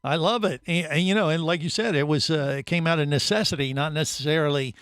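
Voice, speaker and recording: A man's voice: a little high, fast talker, fairly bright tone, clean tone, no room sound. A man hosting a casual radio interview in a studio, rambling with many fillers and restarts. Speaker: radio show host.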